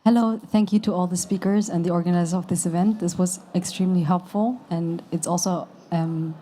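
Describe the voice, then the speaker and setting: A woman's voice: lowish voice, fairly even intonation, fairly quick, dark tone, slight background noise. A woman youth delegate earnestly asking a question from a conference audience. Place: conference pavilion.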